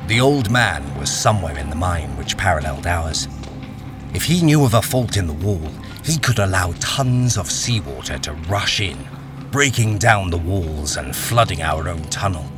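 A man's voice: low-pitched, animated, moderate pace. An actor playing a haunted miner, narrating his ordeal in a brooding, suspenseful radio-drama style. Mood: dread. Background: music.

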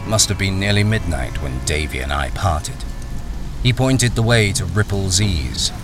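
A man's voice: low voice, animated, measured pace, over music. A man playing a wary, hard-up army deserter who is the hero of a radio thriller. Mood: brooding narration. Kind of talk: radio thriller narration and dialogue. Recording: noisy, rain-soaked radio drama recording.

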